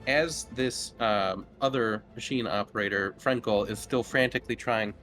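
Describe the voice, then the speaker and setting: A man's voice: lowish voice, normal speed, fairly bright tone. A man speaking as game master, narrating and explaining play in an easygoing, informal way. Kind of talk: casual tabletop game narration. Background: game music.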